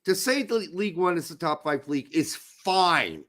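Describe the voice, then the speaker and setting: A man with a hoarse voice hosting a casual live soccer talk show, emphatic and swearing when fired up. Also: a little high, very animated, moderate pace, a bit bright. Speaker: podcast host.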